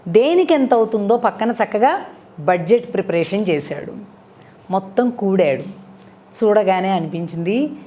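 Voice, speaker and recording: A woman tells a moral story as a devotional storyteller, in an expressive, conversational way with rhetorical questions. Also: mid-range voice. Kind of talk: animated moral storytelling to an audience.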